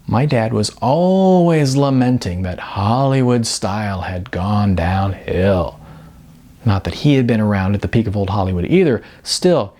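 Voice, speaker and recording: Man voicing a brash, animated woman agent. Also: mid-range voice. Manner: breezy, wisecracking, emphatic. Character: brash chatty middle-aged female talent agent, blunt and flirty.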